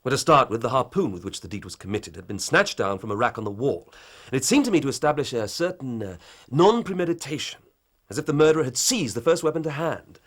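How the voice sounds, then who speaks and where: A man's voice: mid-range voice, animated, fast, hardly any pauses, a bit bright. An actor playing an earnest, eager male police inspector reporting his findings in a radio drama.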